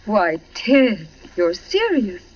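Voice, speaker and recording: A woman's voice: mid-range voice, big pitch swings, slow. A woman acting a sweetly evasive suburban wife in a 1940s radio drama. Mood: evasive, puzzled. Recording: dull, noisy 1940s radio recording.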